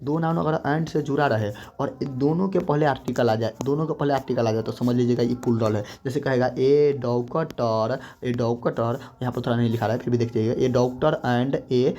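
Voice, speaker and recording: A man's voice: mid-range voice, steady tone, normal speed, hardly any pauses, mellow. A young man teaching a grammar lesson, often repeating points and drawing out example phrases.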